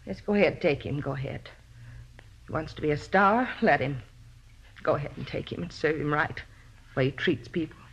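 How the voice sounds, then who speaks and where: A woman's voice: sits low, vocal fry, fairly bright tone, breathy edge, exaggerated voice. An actress playing a possessive, middle-aged faded female star in a 1950s radio drama, pleading and justifying herself bitterly. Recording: dull vintage radio broadcast recording.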